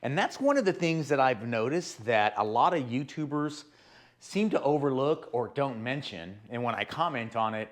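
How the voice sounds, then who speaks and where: A man hosting his own hands-on car repair show, talking in a loose, rambling way as he walks through each step. A sore throat has left his voice hoarse and a bit deeper than usual. He stays conversational and explains things plainly, pitched in an ordinary middle range. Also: animated, moderate pace. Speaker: host of a car repair channel. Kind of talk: casual DIY car tutorial.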